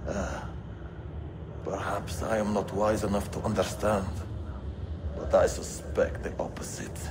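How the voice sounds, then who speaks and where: An actor playing a scornful male traitor in a video game cutscene, speaking deliberately and with contempt. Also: lowish voice, level tone, background noise. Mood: disdainful. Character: scornful male traitor gloating over a hostage.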